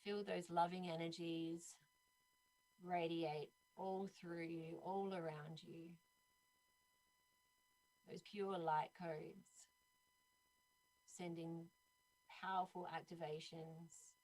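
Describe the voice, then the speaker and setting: A young adult woman on a video call, channeling a spiritual light language in a slow, soft, soothing way. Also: on the low side, level tone, low-quality recording. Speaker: guest healer. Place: indoor room at home.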